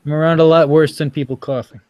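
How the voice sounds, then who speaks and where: A man with a somewhat high voice who talks in a calm, matter-of-fact way. The sound is dry and close, over a video call. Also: quick pace, no echo. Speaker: guest reading the live chat. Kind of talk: casual chat.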